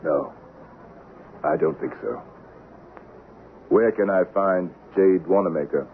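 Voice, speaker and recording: An actor playing a grim, mysterious stranger bent on revenge, terse and cold, in a 1970s western radio drama. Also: male voice, deep voice, slow, a little hiss or hum. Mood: curt, polite. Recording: lo-fi vintage radio, narrow band, music.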